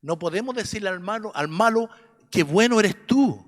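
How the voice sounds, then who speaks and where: A man's voice: high-pitched, lively intonation, normal speed, long reverb tail. A man with a Chilean accent teaching an adult Sunday school class, earnest and preachy, often checking that listeners agree. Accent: Chilean Spanish. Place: church classroom.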